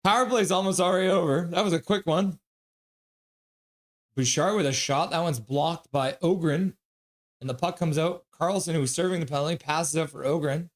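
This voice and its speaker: Man calling hockey play-by-play live. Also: on the high side. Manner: reads chat messages aloud and chats back; quick, offhand running description of the action. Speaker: livestream host. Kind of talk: casual live play-by-play commentary.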